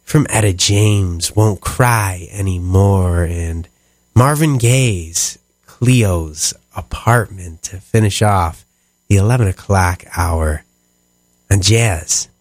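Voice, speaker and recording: A deep, slow-talking man with a nasal, stuffed-up sound hosts a late-night jazz radio show. He rambles easily between tracks, full of "uh"s, on a dry studio mic. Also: dry recording. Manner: rambling with many fillers and laughs. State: stuffy, sniffly. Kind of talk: casual radio talk between songs. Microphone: studio microphone.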